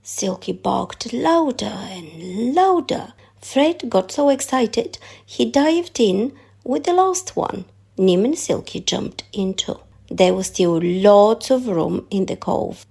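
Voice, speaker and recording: A woman narrating a children's story aloud in an expressive read-aloud style. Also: mid-range voice, slow, creaky, breathy edge.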